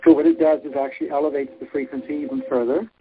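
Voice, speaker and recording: A man hosting a spiritual workshop in a relaxed, rambling way, pausing often on fillers, heard over a phone-line webinar. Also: on the high side. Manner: rambling, frequent filler pauses. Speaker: workshop host.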